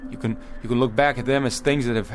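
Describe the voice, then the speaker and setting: A male actor as a determined frontier father leading a wagon train, speaking at a middling pitch. His delivery is rustic and emphatic, halting at times as he insists. It is radio drama, with music playing faintly behind him. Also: steady tone, natural voice. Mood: urgent pleading.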